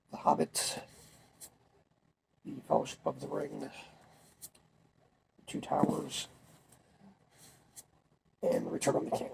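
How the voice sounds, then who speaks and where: Gravelly young man, congested. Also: mid-range voice, noisy background. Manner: relaxed, rambling, many fillers and restarts. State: congested, recovering from a cold. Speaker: vlogger. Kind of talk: casual chat.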